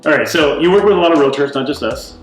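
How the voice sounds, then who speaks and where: A woman's voice: low voice, quick pace. An American woman hosting a casual interview with a direct, joking way of talking. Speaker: interview host, realtor. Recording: roomy echo, faint music behind.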